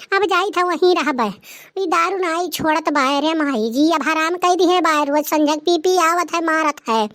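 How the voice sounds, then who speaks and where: A woman doing a high, wailing young daughter. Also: fairly bright tone, dry recording, studio quality. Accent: Awadhi. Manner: plaintive, wailing complaint. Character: wailing young married daughter fleeing a drunken husband.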